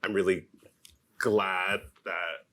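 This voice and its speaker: A man moderating a lecture Q&A, asking thoughtful questions at a measured pace. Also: deep voice, steady tone, bright, forceful tone. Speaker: moderator, urban planner.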